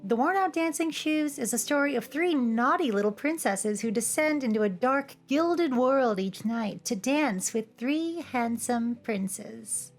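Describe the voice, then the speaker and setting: A woman with a high voice delivers humorous scripted commentary as a host. She slips in playful, cheeky asides. Music plays behind her. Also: lively intonation, unhurried, hardly any pauses. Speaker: video essay host. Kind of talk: scripted, humorous commentary over cartoon clips. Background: background music.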